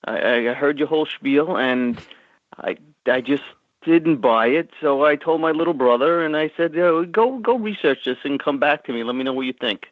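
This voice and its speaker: Middle aged long island guy on a tinny phone line, easygoing, lots of uhs and you knows, kinda high voice. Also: moderate pace, no echo. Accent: Long Island New York. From Long Island, New York. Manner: easygoing, many fillers, repeats words. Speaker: caller. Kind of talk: phone call-in chat.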